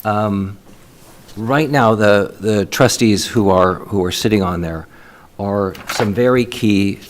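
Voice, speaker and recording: A man with a fairly low voice leads a city council meeting, calling roll and moving through the agenda. He speaks haltingly, restarting phrases and filling gaps with "uh" and "um". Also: a little hiss or hum. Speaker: mayor chairing a council meeting. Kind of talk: chairing a public meeting.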